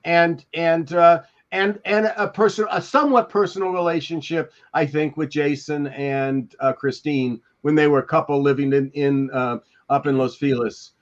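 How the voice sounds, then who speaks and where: Older man, higher-pitched, dull lo-fi sound. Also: fairly even intonation. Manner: halting, rambling storyteller. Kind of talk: casual reminiscing. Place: indoor room on a video call. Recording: dull, lo-fi call audio.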